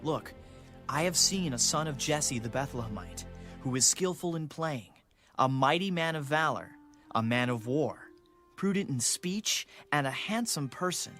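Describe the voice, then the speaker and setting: Earnest royal servant over music. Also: male voice, a little high, animated, a little slow, fairly bright tone. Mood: earnest, deferential. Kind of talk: dramatized audio Bible acting. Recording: music behind the voice.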